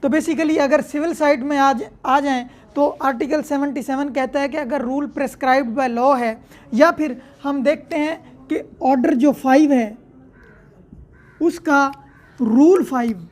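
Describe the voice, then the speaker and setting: High-pitched Pakistani woman giving a lecture. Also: slow, darkish tone. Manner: didactic, poses questions and answers them. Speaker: law lecturer. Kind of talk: explanatory lecture, reading statute text then explaining it.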